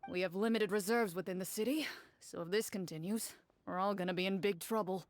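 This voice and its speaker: A woman's voice: medium pitch, a little fast, voice pushed down, natural voice. A woman voicing a brusque, dismissive shopkeeper woman in a dubbed game scene. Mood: grumbling.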